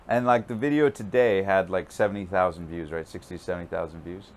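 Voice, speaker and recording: Upbeat male podcast host, low voice. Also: brisk, a little hiss or hum. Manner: upbeat, laughs often, hype-style intro. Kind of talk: casual interview chat.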